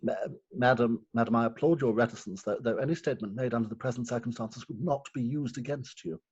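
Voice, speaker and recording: A man playing a pompous, apologetic gentleman in a staged reading of a Victorian farce, formal and hesitant. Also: mid-range voice, fast, breathy edge, muffled recording, natural voice. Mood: flustered.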